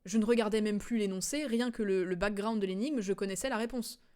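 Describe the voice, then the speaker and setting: A woman's voice: mid-range voice, fairly quick, mellow. A woman streamer chatting casually and digressively as she recaps a video game's story, with a giggly manner. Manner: chatty, digressive, giggly, acts out quotes in slang.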